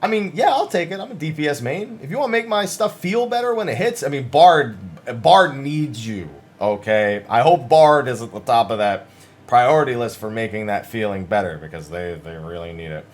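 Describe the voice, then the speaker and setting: A man's voice: mid-range voice, expressive, normal speed. A man hosting gaming news commentary, talking casually and emphatically as he sums up interviews in an informal, conversational way.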